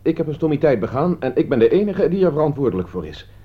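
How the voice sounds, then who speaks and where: A man's voice: a little high, very animated, husky. A man acting an earnest, remorseful murder suspect who insists on taking the blame in a Dutch radio play. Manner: hurried, earnest, confessing. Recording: dull, lo-fi radio play recording.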